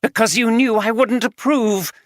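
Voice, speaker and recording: A reader voicing Christine, an angry, slightly slurring middle-aged wife, in an audiobook. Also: female voice, on the high side, normal speed, no room sound, studio quality, voice pushed up, lightly acted. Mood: bitter, furious. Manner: bitter, contemptuous, slurring slightly. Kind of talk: audiobook character reading.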